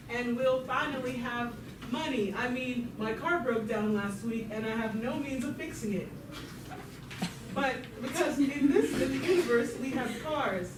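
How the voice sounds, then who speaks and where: Woman playing an earnest rebel leader, very echoey. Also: sits high. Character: earnest rebel peasant Jedi leader rallying comrades. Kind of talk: amateur comic puppet-show acting. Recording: echoey room, distant mic, noise.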